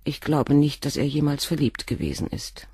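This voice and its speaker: An actress playing a composed middle-aged doctor's wife and former nurse, speaking frankly and in a measured way in a radio drama. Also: female voice, low-pitched, expressive, dark tone, breathy edge, no room sound, clean studio sound, full character voice. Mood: earnest. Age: 40s. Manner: measured, frank, controlled confession. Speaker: witness questioned by the inspector.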